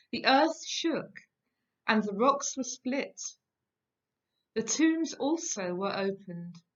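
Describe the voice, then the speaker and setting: A middle-aged woman reads scripture solemnly, in measured and deliberate phrases. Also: on the high side, a bit bright. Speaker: lay scripture reader. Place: home kitchen, indoors. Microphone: webcam at about arm's length. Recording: dull, lo-fi webcam sound.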